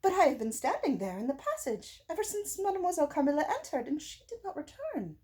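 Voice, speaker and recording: A woman's voice: sits high, huge pitch swings, fast talker, darkish tone, voice pushed up. A woman reading a gothic storybook, voicing an impatient governess in an urgent, insistent way.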